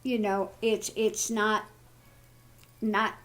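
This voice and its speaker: A woman in her early seventies chatting casually and haltingly, with good humour, in a lowered, easily tired voice. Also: level tone, fairly bright tone. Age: early 70s. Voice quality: voice lowered and easily tired after thyroid surgery. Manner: good-humoured, laughs at her own troubles. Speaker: online vlogger and tarot reader. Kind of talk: casual personal chat.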